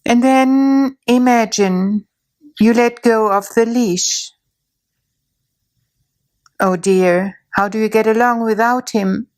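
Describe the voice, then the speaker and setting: A woman narrating a guided imagery story in a slow, soothing way, with long pauses. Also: fairly high pitch, fairly even intonation, a little mellow.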